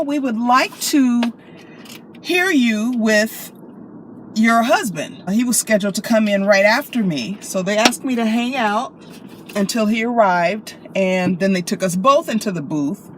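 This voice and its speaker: An American woman chatting casually to camera in an animated, playful, expressive way, like telling stories to friends. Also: a little high, measured pace, poor audio. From Chicago, United States. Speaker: vlogger and actress. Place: inside a car. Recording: clear car and traffic noise.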